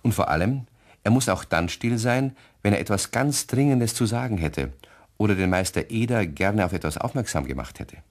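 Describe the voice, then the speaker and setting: Ein Mann erzählt als Sprecher eines Kinderhörspiels eine vorbereitete Geschichte. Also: male voice, on the low side, animated, moderate pace. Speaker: narrator. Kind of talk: scripted storytelling narration for a children's radio play.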